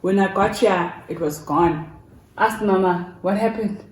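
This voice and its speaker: A woman's voice: mid-range voice, measured pace, a little hiss or hum. A young woman with a South African accent chats and tells stories informally, switching between languages as she goes. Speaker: YouTuber hosting a story time with her mother. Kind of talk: casual chat and storytelling. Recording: roomy, echoing indoor sound.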